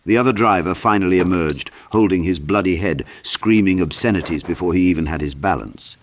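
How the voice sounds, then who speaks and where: A man's voice: deep voice, a little fast, a little hiss or hum. A man reading dramatic scripted horror narration as an audiobook narrator.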